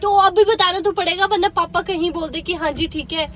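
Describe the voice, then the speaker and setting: A woman's voice: very high-pitched, fairly bright tone, faint music behind. A young woman with a cold and a sore throat, bantering and arguing back as she sits in a car and is filmed on a phone. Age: young adult. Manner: bantering and quick to argue back. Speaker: vlogger's best friend. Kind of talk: casual banter. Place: inside a car. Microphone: phone camera held by the driver.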